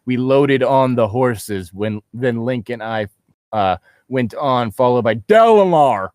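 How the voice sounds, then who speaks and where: A man with a sardonic, deadpan manner, reading a story aloud and bantering as co-host. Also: mid-range voice, takes it slowly, dry recording, studio quality.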